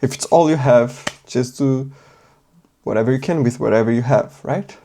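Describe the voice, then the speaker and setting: A man's voice: medium pitch, normal speed, mellow, a little hiss or hum. A man whose first language is Portuguese, giving a casual tutorial and often checking in with "right?". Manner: thinking aloud, muttering while writing; loose and chatty, asks "right?". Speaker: language teacher.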